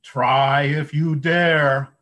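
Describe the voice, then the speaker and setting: A man voicing the biggest billy goat in a storybook reading, announcing himself boldly and deliberately. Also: medium pitch, pushed and bright, voice pushed up. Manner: slow, bold announcing.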